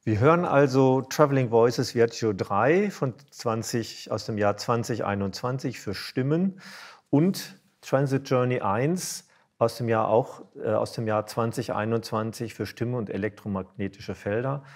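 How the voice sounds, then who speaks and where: A man moderating a concert talk, formal but halting as he searches for words. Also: medium pitch. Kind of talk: concert introduction and interview.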